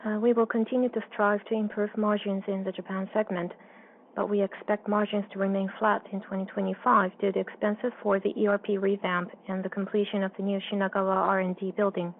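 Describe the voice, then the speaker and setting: Even-toned woman on a muffled business call. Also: mid-range voice, a little fast. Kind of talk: formal financial results presentation, interpreted. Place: conference call line. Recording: dull, slightly noisy call audio.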